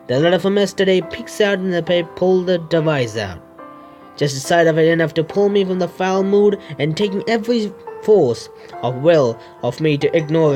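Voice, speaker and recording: A man reading game text aloud as a streamer, halting and stumbling, with casual asides. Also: fairly high pitch, a little mellow. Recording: music under the voice.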